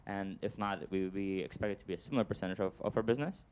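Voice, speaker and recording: Smooth-voiced man explaining marketing on a panel. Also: sits low, racing, a little mellow. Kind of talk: panel discussion answer.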